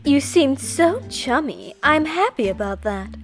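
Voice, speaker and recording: A woman's voice: high-pitched, very animated, unhurried, soft background music, voice pushed up. A woman voicing a doting, teasing, affectionate young woman in an amateur visual novel. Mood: gushing gratitude. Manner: affectionate, cooing.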